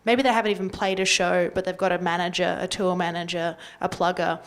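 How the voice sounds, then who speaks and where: A woman's voice: lowish voice, flat delivery, fairly quick. An Australian woman talking casually and informally as a panelist, with loose conversational phrasing. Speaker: community radio panelist.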